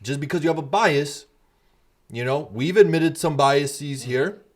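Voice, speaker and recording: A man's voice: a little high, a little slow. Blunt, emphatic male podcast host. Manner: blunt, emphatic, swears freely. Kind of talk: casual podcast debate.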